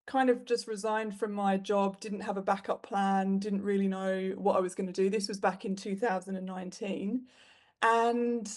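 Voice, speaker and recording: Woman, British accent, thirties, frank, chatty, mid-pitched voice. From United Kingdom. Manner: frank and animated, with frequent fillers. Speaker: guest. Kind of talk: candid conversational storytelling.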